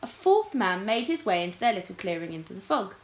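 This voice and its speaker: Woman, teacher reading aloud, expressive storybook narration, medium pitch, roomy echo. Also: brisk. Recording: echoey room.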